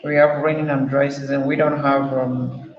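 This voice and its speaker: A man's voice: medium pitch, level tone, normal speed, roomy sound, dull audio. A man from Cameroon with a Cameroonian English accent, hosting a casual group call, asking questions and often repeating himself. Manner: chatty, asks guests questions, repeats himself. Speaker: host of an online health forum.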